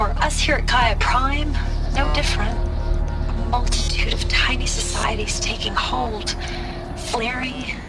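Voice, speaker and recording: An actress playing a weary scientist recording a log, talking slowly and reflectively, at times drifting into whispers. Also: female voice, on the high side, lightly acted, low-quality recording. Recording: voice log under strong music.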